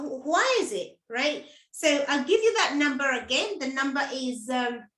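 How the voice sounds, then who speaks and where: High-voiced, slow-talking coach woman. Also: bright, forceful tone. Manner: encouraging, repetitive, direct address to listener. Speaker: menopause coach and host. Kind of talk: live motivational talk to viewers. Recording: echoey room.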